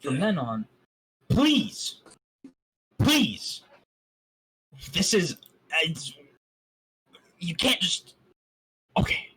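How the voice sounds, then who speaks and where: A man's voice: sits high, huge pitch swings, very slow, pauses often, sharp tone, breathy edge, rough recording. A man reading a fan story aloud on a voice call and breaking off for sarcastic, mocking asides. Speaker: fan fiction reader.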